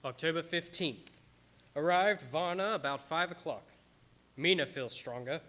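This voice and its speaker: A man's voice: a little high, unhurried, bright, forceful tone. An actor playing a grave young Englishman who reads his journal aloud in a radio drama. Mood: grave, measured. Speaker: actor narrating. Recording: music bed under live-streamed performance.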